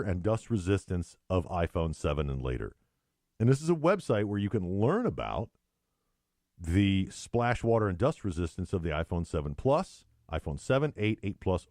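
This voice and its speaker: A middle-aged man co-hosting a podcast in casual, joking, sarcastic chat, telling stories with animated delivery. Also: low-pitched, moderate pace, no room sound, clean studio sound. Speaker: podcast co-host.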